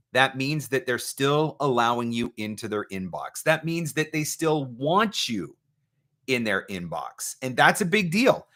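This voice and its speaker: A man hosting a live question-and-answer advice session, speaking as a coach in an emphatic, deliberate way and repeating his key points. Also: medium pitch, a bit bright. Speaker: host, voice-over marketing coach. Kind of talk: live Q&A advice talk, reading out viewer questions.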